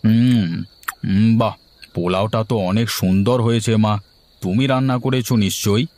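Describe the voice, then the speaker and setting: A man's voice: fairly low pitch, normal speed, darkish tone, slight background noise, lightly acted. A man voicing a touchy, idle grown son, a young adult, in a cartoon family scene. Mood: pleased. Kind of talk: cartoon dialogue acting.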